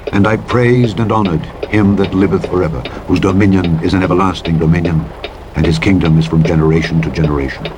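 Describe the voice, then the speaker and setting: Man, fairly low pitch, Bible narrator, solemn measured declamatory reading, clear vehicle noise in the background. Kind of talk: solemn scripture reading, King James English. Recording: truck engine and reversing beeps over reading. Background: truck simulator game vehicle noise.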